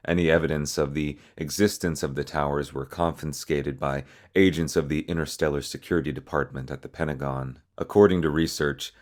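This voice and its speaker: Very deep-voiced man explaining a story. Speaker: video host explaining a horror series. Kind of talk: video essay narration and explanation.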